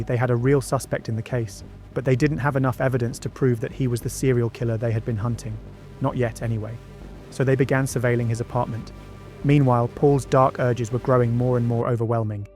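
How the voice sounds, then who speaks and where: A male documentary narrator tells a true-crime story from a script. His voice sits at a medium pitch and his delivery is measured, like a storyteller's. Soft music plays underneath. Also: fairly even intonation. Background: background music.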